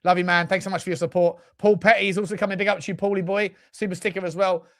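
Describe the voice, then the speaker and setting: A man's voice: sits high, little pitch movement, rapid-fire. A man co-hosting a football fan show, bantering casually in a jokey, sweary way. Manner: jokey, sweary, puts on comic accents.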